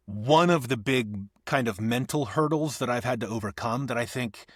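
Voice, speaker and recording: A man, an actor and audiobook narrator, talking as a podcast guest, thinking aloud with restarts and soft laughs. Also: mid-range voice, moderate pace, no echo, clean studio sound. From Northeast Ohio. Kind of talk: conversational podcast interview.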